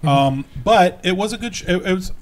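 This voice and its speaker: A man's voice: high-pitched, lively intonation, fairly quick. A man with an American accent chatting casually as a podcast co-host, close to a microphone in a small room at home. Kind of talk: casual chat.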